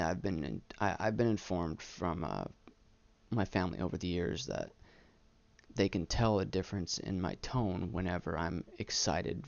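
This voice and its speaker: A young adult man talking candidly about himself as a vlogger, halting and stuttering on words, earnest and self-deprecating. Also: deep voice, gravelly, a little mellow, dry recording, highs cut off. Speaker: YouTube vlogger. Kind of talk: candid personal monologue, casual chat. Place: small room indoors.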